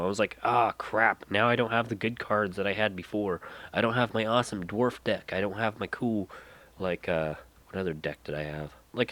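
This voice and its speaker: A man's voice: deep voice, no room sound. Stuffy-nosed man rambling on a podcast. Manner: rambling, trails off and restarts, drops to mutters. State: congested from a head cold, nasal. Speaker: podcast host. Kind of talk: casual solo podcast rambling.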